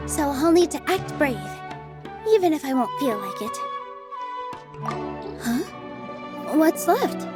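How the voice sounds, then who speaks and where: A gentle, earnest young dancer, with a light, fairly high voice. She speaks softly and thoughtfully, with game music playing behind. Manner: soft-spoken, earnest and thoughtful. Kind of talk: game voice acting. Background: soft game music.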